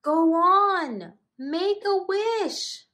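An excitable cartoonish storybook animal voiced by a woman, very high and loud. The lines are stretched out slowly in a sing-song swoop, exclaimed eagerly for young listeners. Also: exaggerated voice. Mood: cheering, sing-song. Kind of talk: slow expressive storybook read-aloud for children.

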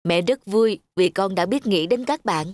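Diễn viên nữ vào vai người mẹ trẻ dịu dàng. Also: female voice, mid-range voice, normal speed, a little mellow, no echo, studio quality, close to an everyday voice. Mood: warm. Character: kind, understanding young mother. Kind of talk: cartoon dubbing.

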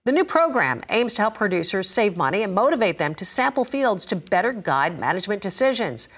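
A woman's voice: mid-range voice, sing-song, brisk, a bit bright. A middle-aged woman anchoring a farm news show in a TV studio, interviewing a guest.

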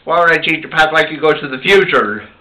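A man improvising amateur puppet show dialogue as the puppeteer, with a halting, rambling delivery. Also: a little high, pushed and bright, poor audio. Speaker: puppeteer voicing all the characters.

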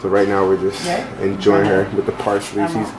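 A man putting on a deep, boisterous voice as a mock cooking-show narrator describing a friend's cooking. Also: monotone, moderate pace, over loud music, lightly acted, poor audio. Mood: mock-grand.

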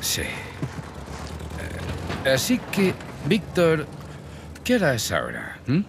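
A man's voice: on the high side, huge pitch swings, slow, creaky, noisy background, heavily acted. A man voicing a restless, roguish older-brother adventurer in a dubbed game, with a laid-back manner and a European Spanish accent. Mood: sly. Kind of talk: Spanish dub of cinematic game drama.

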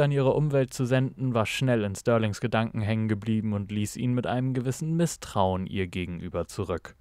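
A man narrating a scripted noir audio drama in a measured, dramatic storytelling manner. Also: mid-range voice, darkish tone, dry recording, studio quality.

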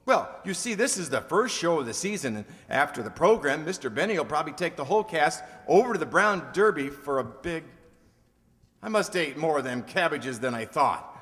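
A man's voice: fairly high pitch, animated, a little fast, fairly bright tone. A man acting a breezy, wisecracking comic character in an old-time radio comedy read from a script. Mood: breezy, joking. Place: stage of a large hall. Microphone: stand microphone. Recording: echoey hall stage performance.

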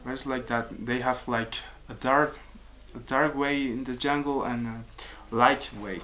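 Young peruvian man, pauses to find words, faint background hiss. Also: mid-range voice, fairly even intonation, bright, forceful tone, low-quality recording. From Peru. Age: 20s. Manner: searching for words, halting restarts. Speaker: composer and musician. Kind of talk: casual interview answers. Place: small office with pictures on walls.

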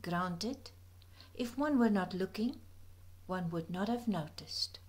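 Slow, soft-spoken woman narrating. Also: mid-range voice, animated, husky. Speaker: narrator of a birding slideshow. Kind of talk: scripted voice-over narration. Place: voice-over recorded apart from the pictured outdoor scenes.

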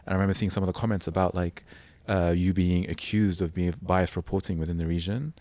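A man hosting an interview, asking quick, searching questions with frequent restarts. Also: low voice, little pitch movement, darkish tone, dry recording. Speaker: podcast host. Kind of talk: conversational interviewing.

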